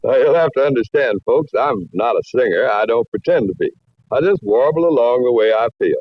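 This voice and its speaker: A man's voice: mid-range voice, animated, brisk, slightly rough, no echo, faint music behind. A man playing an easygoing, folksy singing cowboy with a modest, drawling manner in a 1940s radio drama. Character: easygoing, folksy male singing cowpoke with a sly side. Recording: muffled old radio recording.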